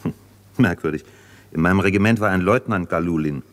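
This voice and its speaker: An actor playing a reserved, thoughtful doctor who speaks with restraint in a 1950s German radio drama. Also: male voice, low-pitched, a little fast, natural voice. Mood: curious. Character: reserved, thoughtful male doctor, quietly inquiring.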